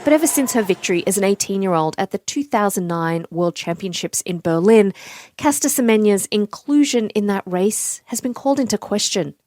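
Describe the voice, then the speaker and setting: A woman hosts a public radio interview in a calm, measured voice at mid pitch. She reads introductions clearly and asks questions gently. The studio sound is close and dry, with no room echo.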